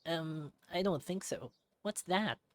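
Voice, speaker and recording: Lady doing a little fox boy for a visual novel, high voice, naive n earnest, super dry sound. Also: takes it slowly, no room sound. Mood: puzzled. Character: young fox boy, earnest, curious, innocent. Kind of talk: character voices in a visual novel read-aloud.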